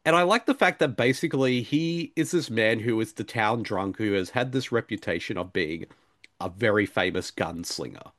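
A man's voice: lowish voice, normal speed, a bit bright, no room sound, studio quality. A man chatting casually as a podcast co-host in a jokey, rambling way. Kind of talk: casual discussion chat.

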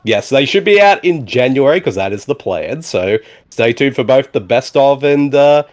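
A man hosts a laid-back movie commentary podcast, his voice rising and falling a lot as he talks. He sounds animated and chatty, and he keeps coming back to short replies like "exactly" and "indeed". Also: medium pitch, normal speed. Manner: animated, laughs often, repeats "exactly" and "indeed". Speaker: podcast host. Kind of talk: casual movie commentary chat.

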